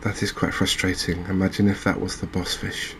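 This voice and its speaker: A man hosting a gaming channel with soft-spoken ASMR commentary, talking in a gentle, unhurried, halting way. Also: sits low, level tone, creaky, slight background noise.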